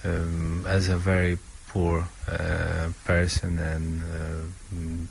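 A man's voice: sits low, monotone, slow pace, creaky, a little hiss or hum. A man, answering as an interviewed author in hesitant, thoughtful English that often searches for words. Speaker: author interviewed about his book.

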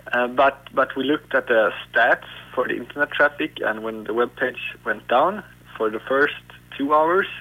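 Guy talking in an interview, raspy gravelly voice, kinda bright, stops and restarts a lot. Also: medium pitch, rough recording. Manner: hesitant, with fillers and restarts. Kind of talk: interview answers.

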